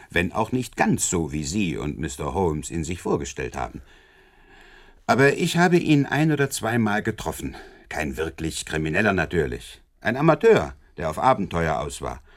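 A self-assured police investigator in a radio play. The voice sits deep but moves animatedly through its range. He sounds wry and condescending, amused by those he addresses. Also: sing-song, normal speed, exaggerated voice. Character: smug, amused Scotland Yard police investigator. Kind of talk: German radio drama acting.

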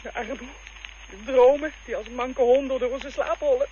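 Woman doing a tired bitter mom, always rushing, dull old radio-play sound with hiss. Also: sits high, steady tone, warm tone, lightly acted. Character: weary overworked mother darning socks. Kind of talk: radio drama acting. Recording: dull lo-fi recording with faint hiss.

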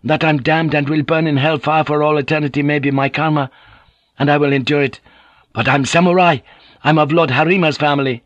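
A man's voice: on the high side, fairly even intonation, brisk, a bit bright, dry recording, muffled recording, pitched above the performer's own voice, close to an everyday voice. A male narrator acts a young Jesuit brother of samurai birth in a dramatic storybook reading. He is proud and impassioned, keeping polite forms while pressing his point bluntly, in a dry recording. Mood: proud, insistent. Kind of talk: dramatic audiobook character acting.

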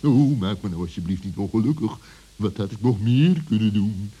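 A man voicing a sly, pompous fox villain in a children's radio play, gloating and scheming with a husky voice. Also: lowish voice, expressive, moderate pace, dark tone. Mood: flustered pleading. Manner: pompous, theatrical.

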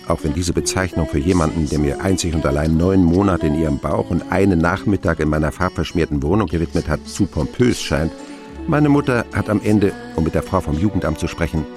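A man narrating a radio play as an older character wryly recalling his boyhood in a measured storytelling manner. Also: low voice, animated, music in the background. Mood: wry, reflective.

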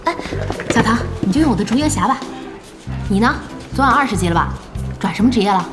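A woman acting a feisty, animated young female boss. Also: mid-range voice, quick pace, music in the background, natural voice. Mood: bossy, brisk. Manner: exclamatory, scolding, teasing. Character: feisty young female internet cafe boss, quick-tempered and bossy. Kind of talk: Chinese audio drama acting.

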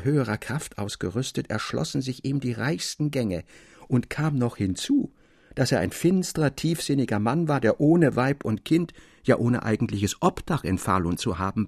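A man's voice: medium pitch, gravelly, breathy edge, no echo, studio quality, heavily acted. A man voicing a concerned, grave old mine foreman in a dramatic literary reading. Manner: solicitous, grave.

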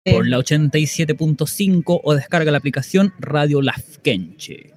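A man's voice: fairly high pitch, expressive, normal speed, darkish tone. A Chilean man presenting a radio show in a casual style. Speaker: radio host.

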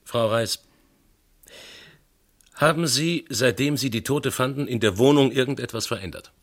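An actor playing a dry, impatient police inspector who questions methodically, in a radio crime drama. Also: male voice, medium pitch, normal speed, lightly acted. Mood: probing.

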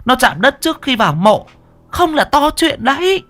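A man voicing a bossy, superstitious old village woman who scolds and shouts urgent orders in a horror story. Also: extremely high pitch, very animated, normal speed, voice pushed up, heavily acted.

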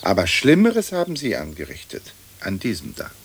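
Pompous old lord, hissy recording. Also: male voice, low voice, wildly swooping pitch, normal speed, vocal fry, heavily acted. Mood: reproachful. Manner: pompous, ornate aristocratic speech. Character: haughty old British lord, a ghostly patriarch, wryly melancholic. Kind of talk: German radio play acting.

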